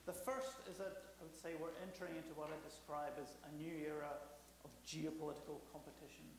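A man in his forties giving a public lecture as guest speaker, slightly hoarse from a cold, fluent and quick with frequent restarts. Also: fairly high pitch, little pitch movement. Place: lecture hall. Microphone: podium microphone. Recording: echoey hall with faint hum.

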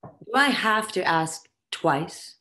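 A woman's voice: on the low side, animated, slow pace, pushed and bright, slight background noise, heavily acted. A woman playing the imperious, condescending ghost of a socialite boss in a theatrical comic table read over video call. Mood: scornful. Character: haughty, foul-mouthed ghost of a dead socialite boss. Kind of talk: virtual table read, comic stage acting.

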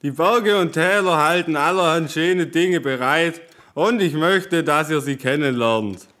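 Man as aging peasant father, slightly high voice, solemn, measured, fatherly, storybook audio drama. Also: bright, forceful tone. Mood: solemn, caring. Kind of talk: audio fairy-tale acting.

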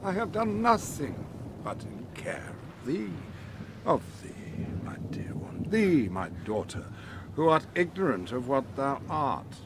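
A man playing a commanding, fatherly exiled duke and magician in a Shakespearean audio drama, emphatic and moving between hushed and loud. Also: a little high, big pitch swings, takes it slowly, noisy background, full character voice. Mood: soothing, urgent.

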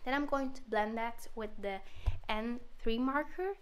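A woman with a cold talks through a card-making project, her voice sitting a touch high. She explains each step in a relaxed way, with the odd "um" along the way. Her throat sounds slightly sore. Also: measured pace, slight background noise. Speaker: card-making tutorial host. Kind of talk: voice-over craft tutorial.

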